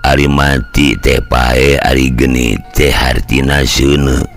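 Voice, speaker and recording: A middle-aged man with a Sundanese accent telling a scripted story in an unhurried, folksy way. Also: extremely low pitch, little pitch movement, vocal fry. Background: faint music.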